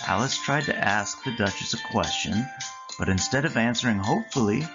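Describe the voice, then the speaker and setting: Read-aloud narrator for children: measured, deliberate phrasing, mid-pitched, clear music bed, lo-fi sound. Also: expressive. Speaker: storyteller. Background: clear background music.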